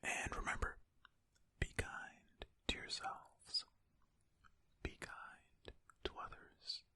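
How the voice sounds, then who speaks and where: Breathy whisper, very crisp and sibilant, gentle informal ASMR host, chatty reading. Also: slow. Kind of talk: whispered ASMR, casual reading aloud with commentary.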